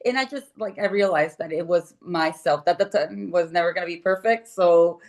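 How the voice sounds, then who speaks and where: A woman's voice: fairly low pitch, moderate pace, a little mellow. A middle-aged woman from Guatemala, an artist guest talking casually and reflectively, tentatively searching for her words. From born in Guatemala, moved to the United States very young. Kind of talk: casual reflective interview talk.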